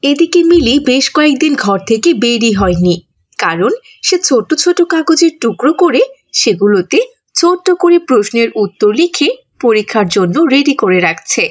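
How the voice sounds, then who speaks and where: A woman's voice: a little high, big pitch swings, moderate pace. A woman reading scripted narration for a children's cartoon story.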